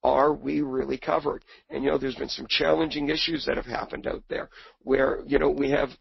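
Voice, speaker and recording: Man, hoarse from a cold, gravelly and husky, dull muffled webinar audio, rambling presenter. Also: mid-range voice, flat delivery, moderate pace. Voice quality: raspy. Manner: rambling, repeats words while searching. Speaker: book co-author presenting on a webinar.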